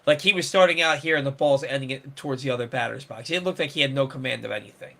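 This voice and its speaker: A man's voice: medium pitch, fast talker, creaky, a bit bright. A man hosting a casual sports talk show, rambling with many restarts and fillers. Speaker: sports podcast host.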